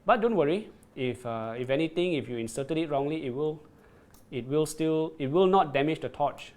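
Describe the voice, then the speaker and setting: A man's voice: a little high, animated, moderate pace, darkish tone. A man presenting a product on a livestream, demonstrating it and reading viewer comments, often repeating words and checking in with "right?".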